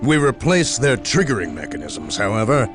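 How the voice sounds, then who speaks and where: An actor playing a dutiful, wary space marine officer giving formal military reports in a game cutscene. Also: male voice, mid-range voice, sing-song, normal speed, lightly acted. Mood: businesslike. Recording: loud music behind.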